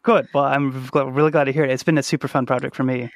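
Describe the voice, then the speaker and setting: A man's voice: medium pitch, steady tone, fast, no echo. A man hosting a podcast in a casual, conversational interview style. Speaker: podcast host.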